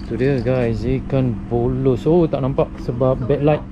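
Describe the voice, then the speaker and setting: A man's voice: mid-range voice, fairly even intonation, measured pace, muffled recording, noisy background. A young man with a Malaysian Malay accent, chatting casually to viewers while fishing at night on a wooden sea platform, filmed on an action camera. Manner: upbeat, chatty, addresses viewers as guys. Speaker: fishing vlogger. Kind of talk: casual vlog commentary.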